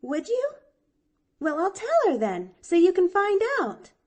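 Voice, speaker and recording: A woman's voice: high-pitched, big pitch swings, unhurried, darkish tone, voice pushed up. A woman voicing a cheerful, innocent little girl in a storybook, with an eager, earnest, gushing manner. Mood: gleeful.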